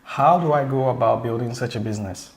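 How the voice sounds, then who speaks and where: A man's voice: mid-range voice, brisk. A man hosting a casual business tutorial, talking eagerly and speaking directly to his audience. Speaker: host.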